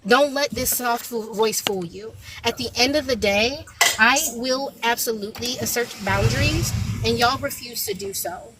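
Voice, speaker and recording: Soft-voiced woman lecturing firmly. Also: fairly high pitch, normal speed, a crowd in the background, poor audio. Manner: firm, lecturing, emphatic. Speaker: panelist. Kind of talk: heated panel debate.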